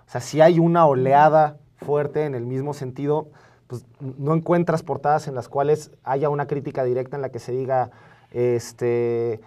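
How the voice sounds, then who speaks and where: A Mexican man with a soft, dark-toned voice at medium pitch speaks as a panel co-host. He is earnest and analytical, often halting and repeating words as he builds his point. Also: fairly even intonation. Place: TV studio.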